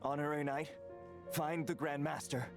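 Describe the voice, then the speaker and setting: A man acting a gruff, wry veteran knight in a video game. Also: fairly high pitch, steady tone, moderate pace. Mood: wry, urgent. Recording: game music behind the voice.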